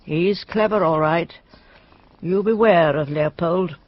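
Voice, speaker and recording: A woman's voice: on the low side, takes it slowly, dry recording, muffled recording. An actress playing a suspicious, gossipy village woman in a radio drama, blunt and full of knowing hints. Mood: spiteful, gossipy.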